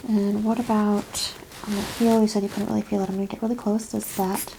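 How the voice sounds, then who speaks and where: A soft-spoken woman doing an ASMR clinical exam roleplay, giving step-by-step instructions and often dropping into whispers. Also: medium pitch, flat delivery, fairly quick. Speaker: ASMR performer playing a medical examiner. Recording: clear background noise and ambience.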